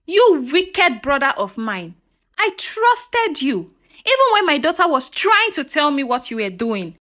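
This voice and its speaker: Woman acting an emotional, high-voiced storybook mother. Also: big pitch swings, unhurried, a bit bright, heavily acted. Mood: hurt, angry. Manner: emotional, emphatic, dramatic. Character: heartbroken, indignant mother, protective of her young daughter. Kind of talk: folktale storytelling character dialogue.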